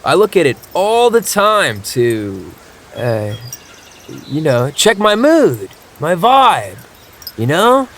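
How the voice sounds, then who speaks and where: An actor playing a laid-back, spacey 1970s hippie in a comic audio drama, with a drawling stoner manner. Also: male voice, a little high, wildly swooping pitch, takes it slowly, a little hiss or hum, full character voice. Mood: easygoing. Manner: drawling, mellow stoner talk.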